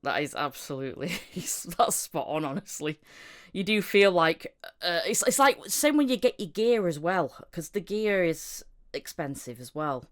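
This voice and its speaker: A British woman with a low, very clean and smooth voice hosts a relaxed chat. She speaks hesitantly and modestly, feeling her way through sentences into a condenser mic in her bedroom. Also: unhurried. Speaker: podcast host, voice actor. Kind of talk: casual chat. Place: bedroom at home. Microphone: condenser microphone.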